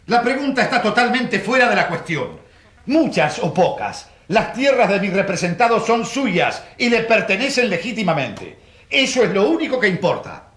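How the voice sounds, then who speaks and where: Self-assured prosecuting lawyer, radio drama. Emphatic oratory, lively intonation, roomy echo. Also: male voice, high-pitched, moderate pace. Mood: indignant protest. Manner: declamatory, emphatic, formal.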